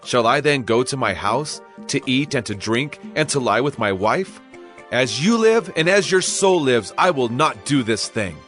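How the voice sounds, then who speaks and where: An actor playing a loyal, principled soldier in a dramatized audio Bible, speaking with solemn, earnest conviction. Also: male voice, mid-range voice, expressive, unhurried, a bit bright, faint music behind. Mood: solemn, resolute.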